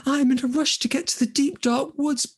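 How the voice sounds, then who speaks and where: Storyteller putting on a hurried, high-voiced young girl. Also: steady tone. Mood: rushed, anxious.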